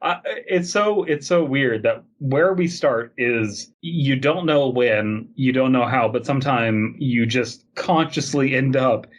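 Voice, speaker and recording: A man's voice: medium pitch, takes it slowly, few pauses. A man with a mid-range voice chats casually as a podcast co-host. He riffs in an incredulous, mock-enthusiastic way as he reacts to stories he reads out. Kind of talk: casual comedic chat, reacting to read-out web fiction.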